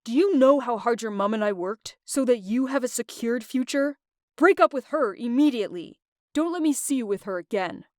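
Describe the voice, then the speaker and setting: A woman voicing the male characters of a story, a stern father, a boyfriend and a friend, in a lower, firmer voice. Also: lively intonation, normal speed, a little mellow, no echo, studio quality, natural voice. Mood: scornful.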